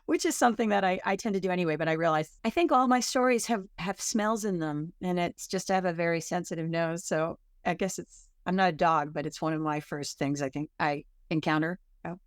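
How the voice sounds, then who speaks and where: Woman author on a podcast, kinda low smooth voice, stops and rephrases a lot, dry close mic. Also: fairly quick, hardly any pauses, no room sound. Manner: thoughtful, self-correcting, searching for words. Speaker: author guest. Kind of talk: podcast interview answers.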